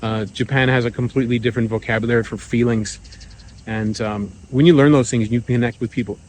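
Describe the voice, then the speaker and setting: A man's voice: mid-range voice, fairly quick. Guy, middle aged, chatty vlog talk, lots of uhs and restarts, a little hiss behind. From United States. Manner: relaxed and rambling, with frequent fillers and restarts. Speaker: vlogger living abroad. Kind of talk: casual monologue.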